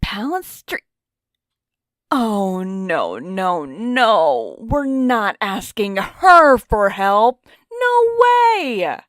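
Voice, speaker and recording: A woman's voice: mid-range voice, very animated, extremely slow, fairly bright tone, no room sound, studio quality, heavily acted. A woman voicing a sassy, fashion-minded teenage girl in a fan audio drama.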